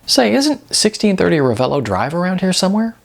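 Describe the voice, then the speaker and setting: A man voicing an excitable, inquisitive younger slacker in an audiobook, slangy and full of incredulous questions. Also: sits high, fairly quick, vocal fry, voice pushed up, exaggerated voice. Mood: excited. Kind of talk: audiobook character dialogue.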